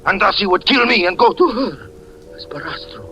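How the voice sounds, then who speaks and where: A haunted, desperate storyteller. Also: male voice, high voice, huge pitch swings, normal speed, sharp tone, dull audio, heavily acted. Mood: dread. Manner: intense, breathless dramatic narration. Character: haunted man recounting his own murder, desperate. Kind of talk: 1940s radio acting.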